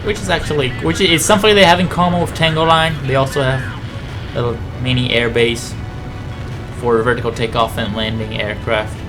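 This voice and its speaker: A man's voice: mid-range voice, fairly even intonation, moderate pace, music in the background. A man giving casual, rambling video game commentary, thinking aloud in a conversational way.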